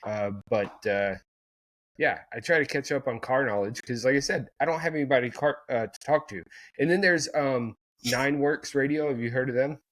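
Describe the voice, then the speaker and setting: A man chatting casually as a podcast co-host. His voice sits at an ordinary middle pitch, and he rambles through his thoughts with frequent fillers and restarts. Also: moderate pace, a bit bright. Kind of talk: casual chat.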